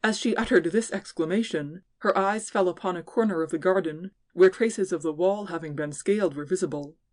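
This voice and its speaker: A woman's voice: lowish voice, brisk, a little mellow. A woman giving scripted narration of classic fiction as an audiobook narrator.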